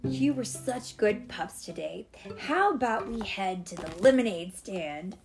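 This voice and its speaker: A woman's voice: medium pitch, expressive, a little slow, vocal fry, over music, low-quality recording. A woman voicing a responsible, kindly boy who leads a rescue team, confident and instructing, in a children's storybook. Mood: reassuring.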